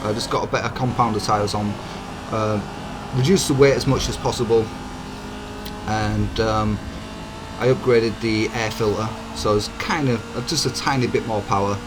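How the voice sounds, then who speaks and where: A man with a hoarse, croaky voice, giving easygoing, chatty commentary over his own racing game footage as a channel host. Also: lowish voice, steady tone, moderate pace. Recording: game engine noise behind. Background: racing car engine sounds.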